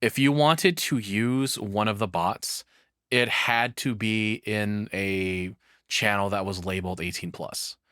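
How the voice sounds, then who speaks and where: A man's voice: fairly low pitch, steady tone, takes it slowly, fairly bright tone, smooth, no room sound, studio quality. A man giving casual, off-the-cuff reaction commentary as a streamer, rambling and swearing as he talks.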